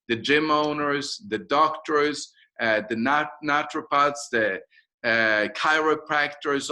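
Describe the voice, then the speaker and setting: A Norwegian-accented man with a fairly high, bright and forceful voice speaks as a company founder in an interview. He makes his business pitch emphatically, repeating and counting off his points. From Norway.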